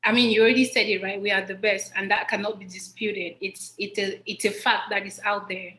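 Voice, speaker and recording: A woman's voice: mid-range voice, level tone, a little fast, sharp tone, slight background noise. A woman from Cameroon, a guest on a livestream interview, answering earnestly and repeating her points for emphasis. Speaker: guest, business distributor. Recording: echoey room.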